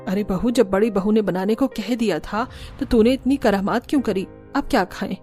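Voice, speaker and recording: A blunt, outspoken mother-in-law of middle age, acted by a woman in a cartoon story. Her medium-pitched voice sits over faint music. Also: moderate pace, warm tone, close to an everyday voice. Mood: scolding. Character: outspoken middle-aged mother-in-law, scolding but fair. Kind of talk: Hindi cartoon story dubbing.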